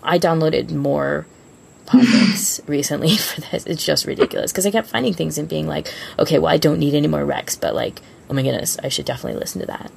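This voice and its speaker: Very creaky low-voiced woman podcaster. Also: brisk, a little mellow, slight background noise. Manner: gushing, informal. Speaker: podcast co-host. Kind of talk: casual fan discussion.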